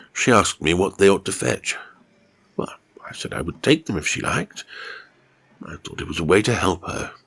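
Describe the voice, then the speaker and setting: A man's voice: sits low, vocal fry, a bit bright, slightly rough, full character voice. A man voicing a hesitant, conversational chess enthusiast who tells grim yarns in an audio horror story.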